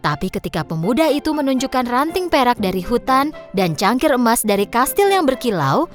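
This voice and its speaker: A woman narrating a children's fairy tale in a lilting, expressive storybook manner. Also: fairly high pitch, brisk. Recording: clear music bed underneath.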